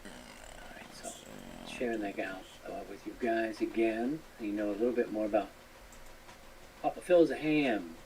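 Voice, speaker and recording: A man's voice: on the low side, moderate pace, long pauses, darkish tone. Man, casual live stream host, earnest, rambling, distant mic, faint background noise. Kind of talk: casual live stream talk, appealing to fellow hams.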